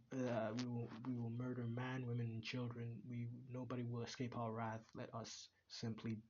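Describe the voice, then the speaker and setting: A man putting on the voice of a bloodthirsty weapon spirit in a quiet, mock-grandiose rant. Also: medium pitch, dull audio, slight background noise, natural voice. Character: bloodthirsty male weapon spirit, gleefully savage.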